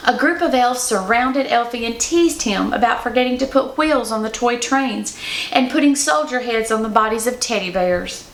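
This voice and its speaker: A woman's voice: on the high side, normal speed. A woman reading a scripted children's story as narrator. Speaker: storybook reader. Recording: roomy echo.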